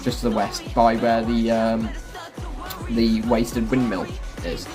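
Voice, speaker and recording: Male gaming host, casual guide commentary, explanatory, medium pitch, clear music behind. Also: flat delivery, slow. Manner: chatty, explanatory.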